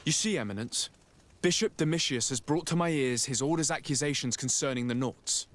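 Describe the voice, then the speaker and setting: A man's voice: medium pitch, brisk, lightly acted. A man voicing a composed, diplomatic emissary in a video game, polite, formal and probing.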